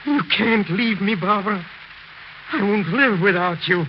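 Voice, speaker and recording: Intense, obsessed grieving husband. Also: male voice, sits high, a little slow. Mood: pleading, desperate. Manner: intense, theatrical. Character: obsessed grieving husband, desperate, morbid, unhinged. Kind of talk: 1940s radio melodrama acting. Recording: very noisy, muffled 1940s radio recording.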